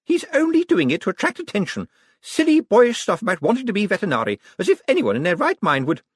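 A petulant, self-righteous rich woman, put on by a male narrator. The voice is pushed high and swoops freely as it gushes along dismissively. She sounds like a vain chatterbox from a storybook. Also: wildly swooping pitch, fast talker, husky, no echo, studio quality, exaggerated voice. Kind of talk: audiobook character acting.

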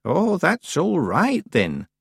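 Man doing a sharp, high-pitched stern old witch. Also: wildly swooping pitch, slow pace, gravelly, breathy edge, dry recording, exaggerated voice. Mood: scolding. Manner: sharp, scolding, dry.

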